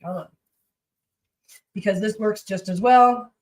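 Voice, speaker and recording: A chatty woman at a middle pitch, cooking in her home kitchen and rambling to her viewers and her pets. She sounds congested. Her voice sits a little back from the mic. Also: unhurried, darkish tone, distant mic. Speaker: cooking livestream host. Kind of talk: casual chat while cooking.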